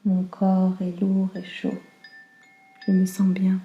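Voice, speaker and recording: A woman guiding a slow relaxation meditation in a calm, soothing, soft and breathy voice that often nears a whisper. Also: mid-range voice, roomy sound, lo-fi sound. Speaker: yoga nidra guide.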